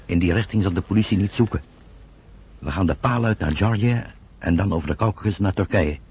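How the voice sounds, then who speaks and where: An actor playing a determined, respectful young refugee in a radio drama, speaking earnestly and firmly. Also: male voice, low-pitched, fairly quick, a little mellow, husky. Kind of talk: Dutch radio drama acting.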